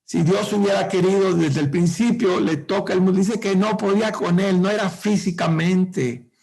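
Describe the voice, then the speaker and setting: A man preaching a sermon to a congregation, with impassioned, drawn-out emphasis. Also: high-pitched, gravelly, darkish tone. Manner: speaks directly to the congregation.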